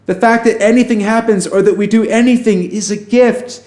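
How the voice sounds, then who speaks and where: A man's voice: high-pitched, steady tone, normal speed, darkish tone, reverberant, lightly acted. An actor playing an earnest, rambling alien man running for president in an audio drama, with a hesitant, wry, conversational manner. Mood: wry, upbeat.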